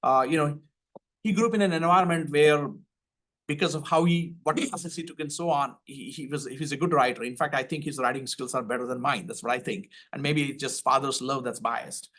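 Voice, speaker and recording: A man's voice: mid-range voice, brisk, a bit bright. An older man with an Indian accent, a panel expert answering audience questions earnestly, often restarting his sentences. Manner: earnest, repeats words when starting, personal anecdotes.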